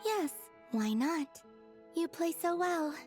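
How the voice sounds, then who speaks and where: Sweet, high, dreamy young woman. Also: expressive, slow pace, a little mellow, full character voice. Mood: encouraging. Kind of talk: video game voice acting. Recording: game dialogue under clear music.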